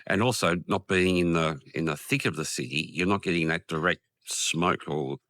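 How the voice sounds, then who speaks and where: An Australian man with a croaky voice from a cold, talking casually in a rambling vlog with many ums and restarts, outdoors in a quiet park. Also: low voice, normal speed, dry recording, clean studio sound. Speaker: vlogger. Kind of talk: casual vlog talk to camera.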